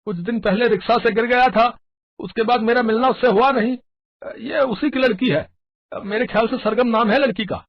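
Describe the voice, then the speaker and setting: A man's voice: very high voice, a little fast, no echo, muffled recording. A man voicing a deferential band drummer in a story, informing his boss in a matter-of-fact way. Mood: informative.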